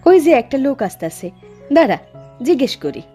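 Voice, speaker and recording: A woman voices a polite cartoon mother, an anxious newcomer from the city. Her earnest voice is high and very animated in pitch, with music in the background. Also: normal speed, slightly rough, full character voice. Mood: gentle, uncertain. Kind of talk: Bengali horror cartoon dubbing.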